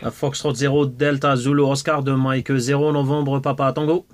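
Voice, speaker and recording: A man at a radio desk talks as an amateur operator in a casual exchange of call signs. His phrasing is halting, with words repeated as he goes. His pitch sits in a middle male range. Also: level tone.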